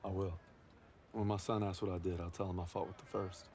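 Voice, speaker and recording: An actor playing a young soldier who looks back in earnest, low-key narration for a game cutscene. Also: male voice, level tone, a little fast, a little mellow, slight background noise. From Texas. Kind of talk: video game cutscene acting, reflective narration.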